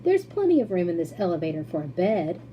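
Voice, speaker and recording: A woman voicing a brisk, cheerfully confident eccentric male factory owner in a storybook, decisive and emphatic. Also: lowish voice, very animated, muffled recording, slight background noise.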